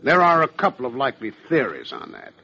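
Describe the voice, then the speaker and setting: An actor playing a dryly probing homicide police lieutenant in an old-time radio crime drama. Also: male voice, mid-range voice, wildly swooping pitch, fast talker, vocal fry, pushed and bright, breathy edge, full character voice. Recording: dull old radio recording.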